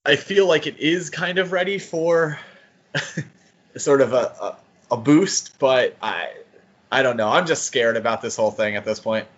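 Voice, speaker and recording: An easygoing man co-hosts a casual podcast, dropping joking asides as he goes. His voice sits at a middling pitch. The audio is slightly dull and lo-fi. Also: lively intonation, unhurried, a bit bright. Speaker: podcast co-host.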